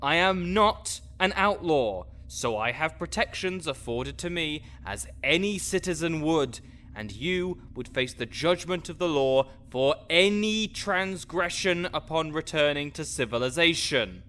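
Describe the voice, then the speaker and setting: A man's voice: on the high side, animated, measured pace, bright, forceful tone. A man playing a grouchy, fussy necromancer researcher in an audio roleplay, sarcastic and wordy as he speaks to the listener. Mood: dry, explanatory.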